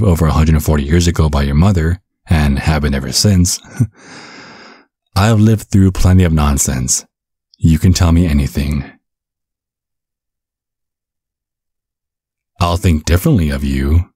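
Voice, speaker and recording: A man acts out a bumbling, loving vampire father in an audio roleplay. His voice is deep and soft-edged with some huskiness. He speaks in a gentle, halting, reassuring way. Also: husky, dry recording, clean studio sound, full character voice. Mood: soothing. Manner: gentle, stammering, chuckling reassurance. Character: caring, bumbling vampire father, looks twenty-five, over a century old. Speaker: ASMR roleplay performer.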